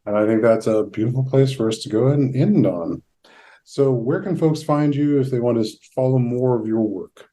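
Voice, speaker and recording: A man hosts a casual interview podcast in a mellow, dark-toned voice. His pitch sits in the middle range for a man, and he talks conversationally. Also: moderate pace. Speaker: podcast host.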